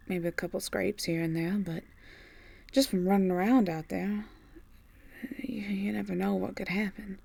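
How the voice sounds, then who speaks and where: Breathy Southern belle farm girl, acted by a woman. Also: medium pitch, a little mellow. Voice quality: breathy, husky, often near a whisper. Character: young Southern belle farmer, tender, worried sweetheart. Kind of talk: ASMR audio roleplay acting.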